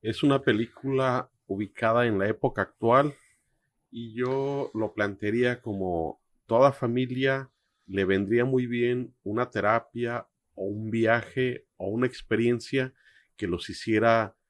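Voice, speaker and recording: A man giving reflective film commentary as a co-host, explaining things phrase by phrase in a measured way. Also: medium pitch, no room sound.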